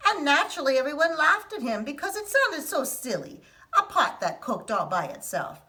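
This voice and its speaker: A woman reads a children's picture book aloud in a put-on Italian accent. Her telling is lively and expressive. The voice sits a little high, with a bright, crisp edge. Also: normal speed.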